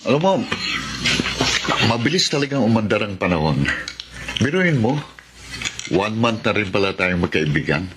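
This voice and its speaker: An actor playing a genial, playful young governor who mixes English into Tagalog, in a radio drama. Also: male voice, lowish voice, very animated, moderate pace, pauses often. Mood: pleased. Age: young adult. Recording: noisy track with clinking dishes.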